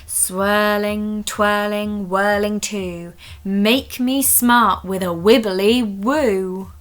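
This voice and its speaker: A woman's voice: medium pitch, steady tone, drawn-out words. A woman voicing eager young schoolgirl witches in a storybook, earnest and sing-song. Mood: eager chanting.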